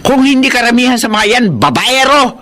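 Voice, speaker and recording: A woman's voice: on the high side, lively intonation, fairly quick, fairly bright tone. A woman acting in a Filipino radio drama as a stern, disapproving older mother who scolds curtly. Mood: scolding. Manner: scolding, suspicious, curt.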